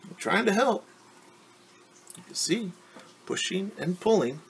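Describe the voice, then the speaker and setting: A man in his forties reading a storybook aloud as a teacher, pausing to explain words and ask questions. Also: high-pitched, very animated, vocal fry, slight background noise. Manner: explains words slowly, asks rhetorical questions. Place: small room at home.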